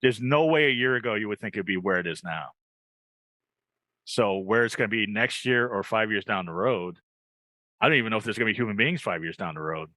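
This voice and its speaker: A man's voice: fairly low pitch, expressive, brisk, bright, forceful tone, no room sound. A man co-hosting a podcast in casual chat, informal and often repeating his phrases. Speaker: podcast co-host, comedian.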